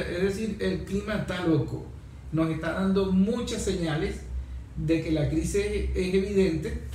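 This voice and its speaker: A Venezuelan man moderates a discussion in a heavily echoing room with faint noise. His voice is high for a man, and he argues his opinions through rhetorical questions. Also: darkish tone.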